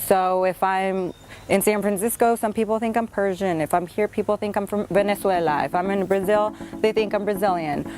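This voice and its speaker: A woman's voice: mid-range voice, moderate pace, rough recording. Young woman, bilingual, earnest and upbeat, mid-range voice, casual interview delivery. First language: Spanish. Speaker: reality show contestant. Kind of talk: audition tape interview.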